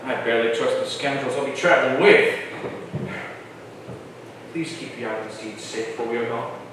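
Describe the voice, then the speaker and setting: A man's voice: mid-range voice, fairly even intonation, moderate pace, fairly bright tone, close to an everyday voice. A man playing a plain-spoken, earnest colonial schoolteacher and family man in a staged historical play reading. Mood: earnest, wary. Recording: echoey hall, far from mic, faint noise.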